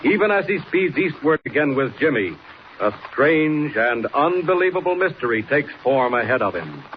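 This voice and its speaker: A man's voice: fairly high pitch, big pitch swings, moderate pace. A man announcing a 1940s radio adventure serial in dramatic scripted narration. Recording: muffled old radio recording, faint noise.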